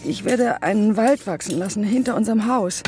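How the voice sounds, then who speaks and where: An actress playing a desperate, fiercely devoted lover in a poetic radio drama, speaking in insistent, incantatory short pleas. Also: female voice, mid-range voice, fairly quick, darkish tone, faint music behind, close to an everyday voice. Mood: pleading, visionary.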